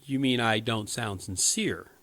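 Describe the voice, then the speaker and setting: An actor playing an earnest, inexperienced young crisis counselor in a radio play, hesitant and pleading. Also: male voice, fairly low pitch, measured pace, a bit bright. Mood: careful, concerned. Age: 20s.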